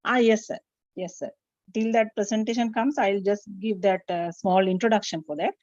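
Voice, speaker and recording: A woman from Sri Lanka giving an online webinar talk, pausing often with filler sounds. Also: mid-range voice, steady tone, darkish tone, no room sound. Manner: hesitant, many "uh" fillers. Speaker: webinar speaker. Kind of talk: presentation talk with many fillers. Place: home during power cut, online call. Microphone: video call. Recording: muffled lo-fi call audio.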